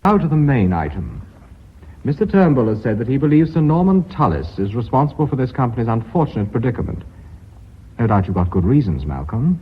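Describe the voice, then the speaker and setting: A man's voice: mid-range voice, big pitch swings, a little fast, a little hiss or hum, natural voice. An actor playing a formal, harried vice chairman of a company in a radio drama, with an English accent. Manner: formal, authoritative, businesslike. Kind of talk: British radio drama acting. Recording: dull lo-fi radio recording.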